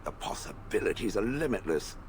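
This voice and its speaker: An actor playing a sardonic stone golem from a fantasy video game, haughty and contemptuous of people. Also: male voice, fairly low pitch, sing-song, a little hiss or hum. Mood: smug, disdainful. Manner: haughty, sardonic, deliberate phrasing.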